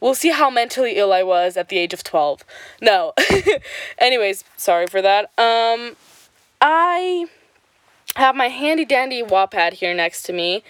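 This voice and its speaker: A woman's voice: on the high side, animated, measured pace, clean tone, dry recording. Sick young woman podcasting with a lisp. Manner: slight lisp from swollen tongue; rambling, profane, lots of "like" and "um". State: stuffy, sick with swollen tongue. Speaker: podcast host. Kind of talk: casual solo podcast chat. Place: home at night.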